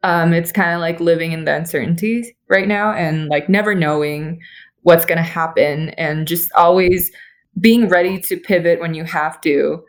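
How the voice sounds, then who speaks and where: A woman's voice: lowish voice, fairly even intonation, normal speed. A young woman, an architect and interview guest, telling her story casually with many "like"s over a remote call.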